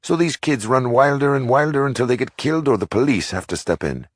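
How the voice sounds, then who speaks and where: A man's voice: mid-range voice, lively intonation, fairly quick, no room sound, studio quality, pitched above the performer's own voice, close to an everyday voice. A man reading aloud, voicing an old Irish priest grandfather who lectures in an Irish brogue. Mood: lecturing. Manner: lecturing, earnest.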